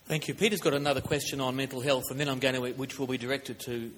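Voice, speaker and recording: A man's voice: medium pitch, steady tone, fast talker, fairly bright tone. An Australian man moderating a panel discussion at a public forum. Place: large indoor hall, public forum. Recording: echoey hall with background noise.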